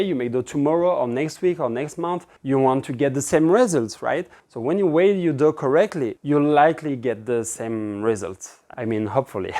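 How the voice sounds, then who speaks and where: A man with a French accent, a native French speaker from France, giving a relaxed, informal step-by-step cooking demonstration as an instructor in a kitchen. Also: mid-range voice. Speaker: pizza-making instructor. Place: indoor kitchen with stone counter.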